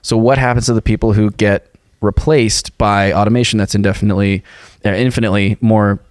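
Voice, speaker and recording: A man co-hosting a casual political podcast, setting out a question in a measured way. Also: on the low side, fairly even intonation, a little mellow, clear, resonant tone, dry recording, clean studio sound. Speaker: podcast co-host.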